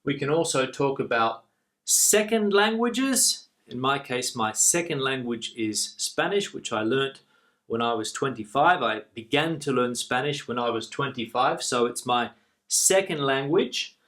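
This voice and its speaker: A man with an Australian accent, an English teacher explaining vocabulary clearly and repeating the key words. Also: medium pitch, expressive, measured pace, fairly bright tone. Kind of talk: online English lesson.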